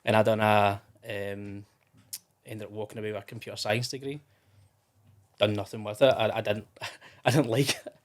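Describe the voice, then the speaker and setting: A man's voice: lowish voice, little pitch movement, moderate pace. A Scottish man in his thirties, a podcast guest in casual, rambling conversation with many restarts. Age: 30s. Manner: chatty and rambling, with frequent restarts and fillers. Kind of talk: casual interview chat.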